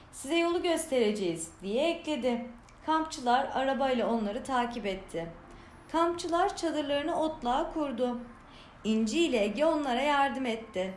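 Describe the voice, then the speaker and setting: A woman reading a scripted children's story aloud as storyteller, with an expressive delivery. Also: a little high. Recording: roomy echo, clear background noise.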